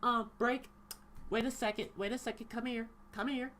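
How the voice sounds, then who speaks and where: A man's voice: extremely high pitch, steady tone, normal speed, sharp tone, slight background noise, pitched above the performer's own voice. A young man voicing a curt, bossy female college teacher in a spoken storytime anecdote, insistent and scolding.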